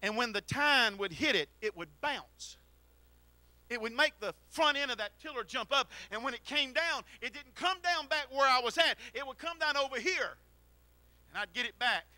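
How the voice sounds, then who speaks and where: A middle-aged man preaching a sermon into a handheld mic in a church, swinging from shouted exhortation to quiet storytelling. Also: very high voice, lively intonation, normal speed, dry recording. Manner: preaches while pacing the platform. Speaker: pastor. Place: church sanctuary.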